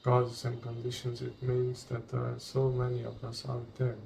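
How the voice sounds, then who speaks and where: A man leading a guided meditation in a solemn, deliberate way, speaking in short, broken phrases. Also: medium pitch, completely flat delivery, a little mellow. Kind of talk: guided meditation, spoken slowly and reflectively. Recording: distant mic with clear background noise.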